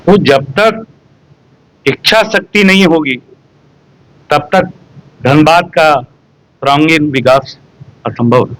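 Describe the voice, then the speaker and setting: A man's voice: fairly high pitch, takes it slowly, long pauses, a bit bright, dry recording, rough recording. A man speaking earnestly and rhetorically to reporters at a press interview. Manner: earnest, rhetorical, complaining about officials. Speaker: local activist interviewed by press.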